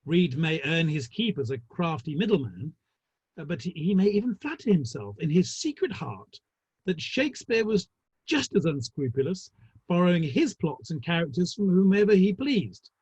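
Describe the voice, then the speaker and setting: A man playing a wry, urbane, gossipy Victorian theatre manager in a dramatic play reading, with arch, ornate delivery and a husky voice. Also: fairly high pitch, sing-song, moderate pace, a little mellow, no room sound, dull audio. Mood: droll, gossipy. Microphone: online call.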